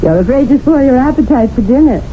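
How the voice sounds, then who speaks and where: Genteel, coaxing widow, lively voice, thin phone-like old radio sound. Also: female voice, high voice, quick pace. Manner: polite, coaxing, diplomatic. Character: genteel widowed sister, gently matchmaking. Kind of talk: 1970s radio drama acting. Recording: tinny old radio broadcast with faint hiss.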